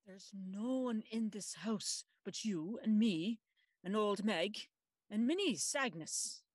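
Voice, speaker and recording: A woman's voice: mid-range voice, takes it slowly, dry recording, studio quality. A woman playing a stern, pious aunt in service, soothing her mistress firmly in a gothic audio drama. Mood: firm reassurance.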